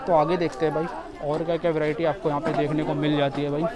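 A man's voice: on the high side, flat delivery, quick pace. A man giving a casual market tour as a vlogger, often repeating himself and addressing viewers as brother, recorded outdoors in a street market. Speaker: vlogger and host. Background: crowd chatter.

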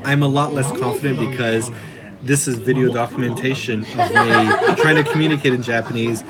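A man in his thirties, Polish by first language, makes fun of himself in casual live chat. His voice sits at a middling pitch. A phone at arm's length catches it over a loud, packed restaurant. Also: fairly even intonation, fairly quick. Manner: joking, self-deprecating, acts out quoted lines. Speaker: co-host of a food channel. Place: busy sushi bar. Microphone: phone camera at arm's length. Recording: loud crowd noise behind. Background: loud restaurant crowd.

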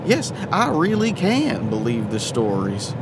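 Man acting a slow-talking drunk, defensive guy. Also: medium pitch, wildly swooping pitch. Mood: nervous, defensive. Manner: slow, halting, tipsy. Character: drunk, superstitious, easily spooked man, defensive tough guy. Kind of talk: audio drama dialogue. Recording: car noise behind the voice.

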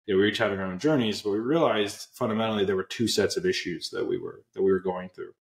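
Deep-voiced young man on a video call. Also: quick pace, gravelly, echoey room. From Pennsylvania, USA. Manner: earnest, reflective, many fillers and restarts. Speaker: guest, app co-founder. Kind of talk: casual interview storytelling. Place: small room indoors. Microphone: earbud headset on video call.

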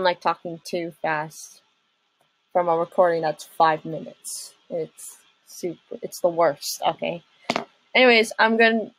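A young adult woman giving casual reaction commentary as a video host, rambling informally and excitably. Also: medium pitch, dry recording, poor audio. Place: small room at home.

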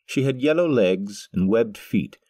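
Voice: medium pitch, animated, unhurried, dark tone, husky, no echo, studio quality. A narrator of an audio drama, reading a scripted story.

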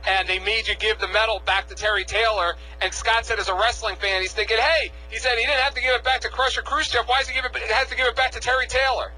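Male radio host, high pitch, bright sharp tone, conversational rambling questions, faint hum behind. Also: fairly even intonation, fast talker, few pauses, rough recording. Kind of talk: radio interview.

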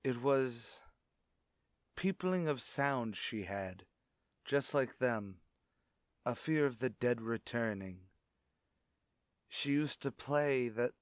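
A man's voice: mid-range voice, expressive, slow, pauses often, no room sound, studio quality. A man playing a brooding, secretive widowed father in formal, grave, melodramatic old-time radio suspense style. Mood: grave, confiding. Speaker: radio drama actor.

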